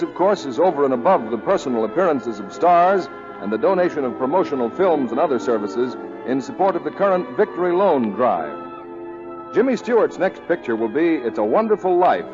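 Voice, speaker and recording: A man announcing in formal, emphatic 1940s radio style, reading a script on a dull old broadcast recording. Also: a little high. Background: music.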